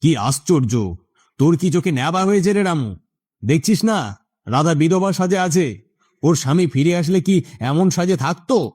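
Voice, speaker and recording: A man's voice: on the high side, lively intonation, moderate pace, darkish tone, husky. A man playing a leering, bossy village in-law in a cartoon, with an oily, chuckling manner that turns to scolding. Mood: bossy, irritated. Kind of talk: cartoon voice acting.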